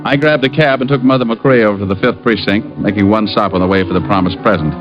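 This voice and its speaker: Low-voiced wisecracking radio private eye man acting. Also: fast talker, music in the background, close to an everyday voice. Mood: wry narration. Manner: dry, flippant, deadpan. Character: wisecracking, sardonic private detective. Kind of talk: 1940s-50s radio detective acting and first-person narration. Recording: muffled old radio recording.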